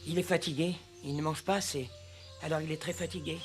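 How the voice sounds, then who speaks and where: A man voicing a gentle, compassionate animal doctor in a cartoon, in a soothing manner. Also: on the high side, fairly even intonation, a little fast, music in the background, close to an everyday voice. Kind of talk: cartoon voice acting.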